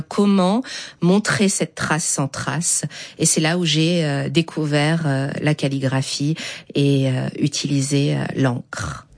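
A woman who is a dancer, speaking slowly and thoughtfully as a guest, with frequent hesitations, and reading poetry aloud. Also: low voice. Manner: slow and thoughtful, with many hesitations. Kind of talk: reflective interview talk and poetry reading.

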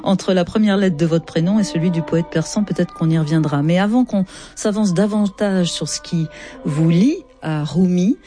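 A woman hosting a radio interview, with lively, wry remarks. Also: fairly low pitch, normal speed, faint music behind. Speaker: radio host.

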